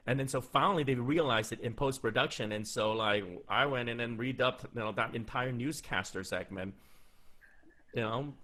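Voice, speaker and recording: A man's voice: mid-range voice, fairly bright tone. A man in his thirties, a voice actor, answering interview questions in a loose, chatty way with frequent restarts, recorded on a studio mic at home. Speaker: voice actor giving career advice. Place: small room at home, indoors. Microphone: large studio mic with pop filter, headphones on.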